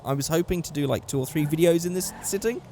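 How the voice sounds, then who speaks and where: A man with a hoarse, worn-out voice giving casual commentary over a driving game, getting excitable at close calls. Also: on the high side, fast talker, slight background noise. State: hoarse, losing his voice. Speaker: gaming channel host.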